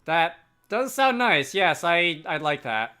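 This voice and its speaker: A man voicing a polite, thoughtful young princess from a game, with formal, gentle phrasing. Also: on the high side, very animated, slow, smooth, exaggerated voice.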